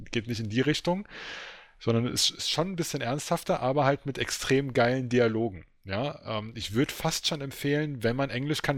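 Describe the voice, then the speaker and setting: A man's voice: mid-range voice, fairly bright tone, no echo, studio quality. A man talking in a casual, colloquial and slangy way, like a podcast co-host recommending something.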